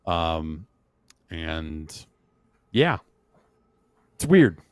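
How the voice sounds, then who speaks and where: Male podcast host with a lower voice, loose and rambling, recorded dry and close. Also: big pitch swings, very slow, clean tone, dry recording. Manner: loose and rambling, many fillers and restarts. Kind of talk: casual chat, reading listener emails.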